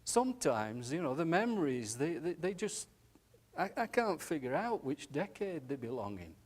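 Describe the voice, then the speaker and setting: A man voicing an elderly widowed nursing home resident who talks slowly, haltingly and tenderly, often saying "aye". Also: a little high, big pitch swings, husky. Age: older. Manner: slow, halting, chuckling, says "aye" often.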